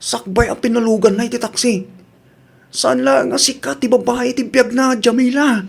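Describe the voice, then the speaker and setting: Man playing an insistent high-voiced young Ilocano man. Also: steady tone, normal speed, vocal fry, husky, exaggerated voice. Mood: insistent, accusing. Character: agitated, insistent young man, accusing and jealous. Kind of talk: radio drama acting.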